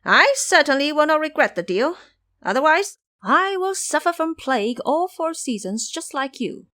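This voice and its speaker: A mischievous monkey trickster, played by a woman, talking with lively swings of pitch. He is confident and teasing, smoothly persuading his listeners in a fantasy audio drama. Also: normal speed, full character voice. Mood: smug.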